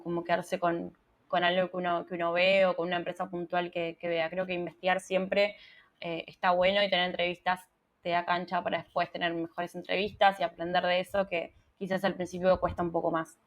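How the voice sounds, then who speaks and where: A woman's voice: lowish voice, little pitch movement, brisk. A young Argentine woman, a UX writer, answering interview questions in a casual, thoughtful way with many restarts. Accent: Argentine Spanish.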